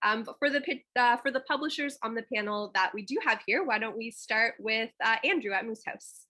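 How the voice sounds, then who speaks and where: A woman moderating an online pitch panel, talking informally with fillers and restarts. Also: brisk, sharp tone.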